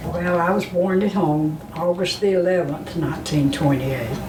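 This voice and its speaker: A woman in her eighties who talks slowly and searches for her words in an oral history interview. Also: fairly low pitch. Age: 80s. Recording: distant mic, echoey room, audible noise.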